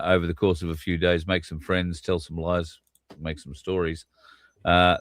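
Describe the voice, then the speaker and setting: A low-pitched Australian man co-hosts a casual livestream, speaking bluntly and swearing now and then. He reads out viewer comments. His voice sounds close and dry, with no room around it. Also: fairly bright tone, no room sound. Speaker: co-host. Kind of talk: casual chat.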